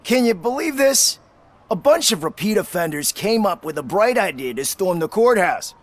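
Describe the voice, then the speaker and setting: A man's voice: high-pitched, very animated, normal speed. A man playing an exasperated police colleague giving a briefing in a video game, incredulous and sardonic.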